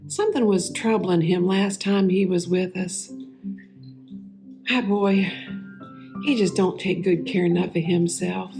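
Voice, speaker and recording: A woman acting as a warm, homespun Bible-times woman with a Southern drawl. She tells her story slowly and wistfully in a folksy church drama monologue, lingering on words, with music playing behind her. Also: on the low side. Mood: tender, worried. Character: warm homespun Martha of Bethany, sister of Lazarus.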